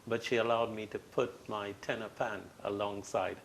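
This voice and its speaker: Gravelly older man. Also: lowish voice, flat delivery, a little hiss or hum, rough recording. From Trinidad. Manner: measured, reflective storytelling. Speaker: guest. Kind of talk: interview.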